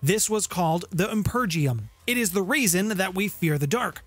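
A man's voice: high voice, lively intonation, normal speed, fairly bright tone, dry recording. A man in his thirties presenting an explainer to camera in an emphatic way, stretching key words for weight, on a close studio microphone in a home room. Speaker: host of a tabletop game lore channel. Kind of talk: explainer to camera, semi-scripted narration. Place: small home music room, indoors. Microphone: close studio condenser microphone with shield. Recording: music playing under the voice. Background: background music.

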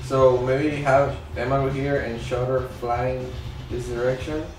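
A young man of medium pitch chats informally while he draws. His voice sounds distant and strongly reverberant, with clear music behind it. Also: flat delivery, a little slow, a little mellow. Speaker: manga artist, show host. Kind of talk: casual chat while drawing. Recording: echoey room, distant mic, music behind.

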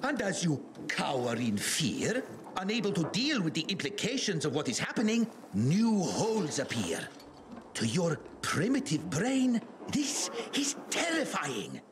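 An actor playing an excitable, patronizing male eccentric scientist in a video game, with a theatrical delivery. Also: on the high side, a little slow, background noise. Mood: dramatic. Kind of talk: video game dialogue acting.

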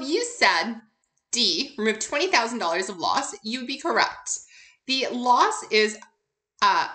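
A woman's voice: medium pitch, bright, forceful tone. A woman instructor narrating an informal recorded lecture, upbeat and encouraging, addressing her listeners directly. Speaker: accounting instructor.

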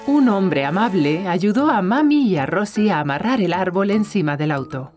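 Woman narrator, medium pitch, animated storytelling, children's cartoon, soft music behind. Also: moderate pace.

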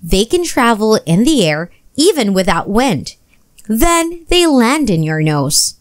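Woman as a high-pitched, encouraging schoolteacher. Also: big pitch swings, slow pace, no room sound, clean studio sound. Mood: explaining. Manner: instructive, encouraging. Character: bright, encouraging female schoolteacher explaining science.

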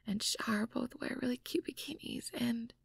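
A woman's voice: on the high side, normal speed, creaky, breathy edge, no room sound, in a whisper, exaggerated voice. A woman voicing a shy, insecure, apologetic young earth mage girlfriend, hesitant and stammering, in an audio roleplay. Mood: bashful, insecure.

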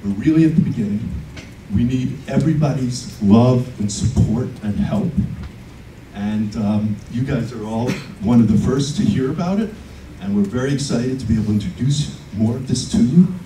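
A man's voice: mid-range voice, moderate pace, noisy background. A man presenting his film project to a panel audience with enthusiasm. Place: conference room. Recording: roomy conference hall audio from a distance.

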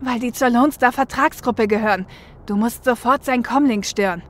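A woman's voice: a little high, moderate pace, natural voice. Woman acting a seasoned female getaway driver. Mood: businesslike. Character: seasoned female shadowrunner getaway driver, protective older sister. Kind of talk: audio drama acting.

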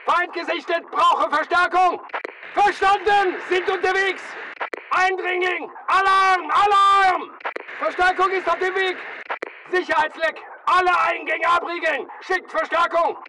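An actor playing a tough, disciplined mercenary soldier in a video game, giving clipped military commands. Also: male voice, very high-pitched, steady tone, measured pace, far from the mic, noisy background. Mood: shouting, alarmed. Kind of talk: military battle chatter, short barked commands.

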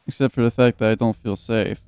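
Mellow young man doing gaming commentary. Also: mid-range voice, steady tone, a little fast, smooth. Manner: joking, sweary, reading game text aloud. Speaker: gaming video host. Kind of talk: casual let's play commentary. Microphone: close, dry microphone.

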